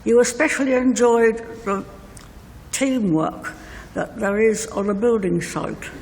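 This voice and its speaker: An older woman reading a prepared eulogy letter as a bereaved mother, in measured, deliberate phrases. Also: mid-range voice, gravelly, darkish tone. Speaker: bereaved mother giving a tribute. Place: inside a church at a funeral service. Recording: reverberant church hall, faint noise.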